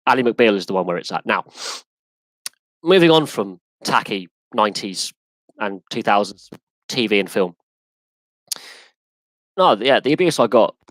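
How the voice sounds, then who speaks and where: A man's voice: fairly low pitch, a little slow, long pauses, dry recording, poor audio. Emphatic English man ranting live. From England. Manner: animated, emphatic, restarts and repeats himself. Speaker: podcast host. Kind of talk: live Q&A rant.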